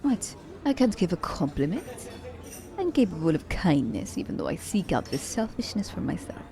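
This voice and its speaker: A woman playing a confident, selfish young assassin in an ASMR romance roleplay, speaking in a teasing, halting manner. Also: fairly low pitch, sing-song, dark tone. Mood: teasing, relaxed.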